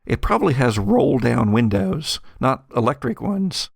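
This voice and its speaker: A man narrating an improvised tabletop roleplaying game as game master, telling the story in a halting, deliberate way with dramatic pauses. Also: medium pitch, big pitch swings, gravelly, no echo, studio quality.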